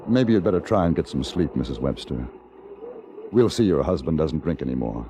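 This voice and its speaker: An actor playing a calm, firm, courteous western hero in an old radio drama, terse and measured. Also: male voice, very deep voice, heavily acted. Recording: noisy old radio broadcast recording.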